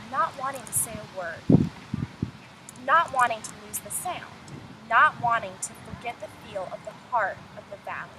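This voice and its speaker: A woman teacher reading a story aloud to children, speaking to her young listeners directly, recorded outdoors. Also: fairly high pitch. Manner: addresses young listeners with questions and prompts. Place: outdoors in the open. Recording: noisy windy outdoor recording with traffic.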